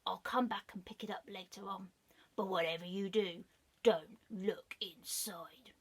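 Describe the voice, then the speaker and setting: A woman voicing a sly, scheming male fox in a children's storybook reading, speaking low and hushed. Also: fairly even intonation, brisk, close to an everyday voice.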